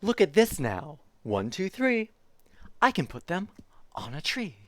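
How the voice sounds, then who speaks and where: A dopey spotted creature from a children's storybook, read aloud by a streamer, boasting in bouncy singsong rhymes. The pitch leaps up and down all over the place. The sound is dry and close. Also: sing-song, measured pace, no room sound. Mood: boastful.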